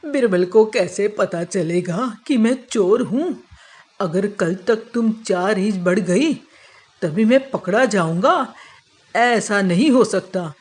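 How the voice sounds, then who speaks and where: Man as a slow-talking, sly servant thief. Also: sits high, animated. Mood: scheming. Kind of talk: Hindi children's moral cartoon dubbing.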